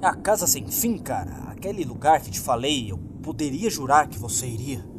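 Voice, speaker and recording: A man's voice: mid-range voice, sing-song, moderate pace, noisy background, lightly acted. A man playing a foul-mouthed, jittery young male friend in a horror story, agitated and slangy. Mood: alarmed, relieved. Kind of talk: creepypasta character dialogue.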